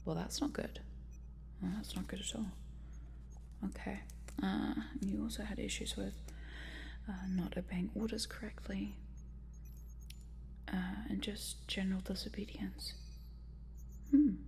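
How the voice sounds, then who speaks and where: A woman playing a quirky, lonely scientist in a whispered ASMR roleplay, softly muttering to herself as she tinkers. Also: medium pitch, little pitch movement, measured pace, creaky, long pauses, a little hiss or hum. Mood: curious, concerned. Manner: soft, hesitant, muttering to herself. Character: quirky lonely female scientist repairing a robot in her lab.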